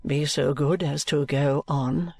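A man's voice: on the high side, steady tone, vocal fry, no echo, clean studio sound, heavily acted. A man voicing a proud, elderly aristocratic baronet who speaks stiffly and with wounded dignity. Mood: stiffly indignant. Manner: stiff, haughty, slow and deliberate. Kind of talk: audiobook character reading.

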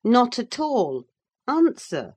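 A woman's voice: fairly high pitch, big pitch swings, takes it slowly, darkish tone, slightly rough, pitched above the performer's own voice, heavily acted. A woman voicing a no-nonsense, sardonic female servant in a dramatised audiobook, dry, sententious and scolding. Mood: stern, quizzing. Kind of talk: dramatised audiobook reading of a classic novel.

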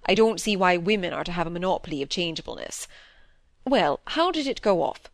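Woman as exasperated older-generation father, gruff, short-spoken, dryly wry, audiobook, close dry recording. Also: on the low side, big pitch swings, fairly quick, no room sound. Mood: wry, probing. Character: gruff, exasperated middle-aged surgeon father.

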